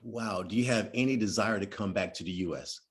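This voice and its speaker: A man's voice: on the low side, fairly quick. A man hosting an interview, asking questions and giving short encouraging affirmations. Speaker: interview host.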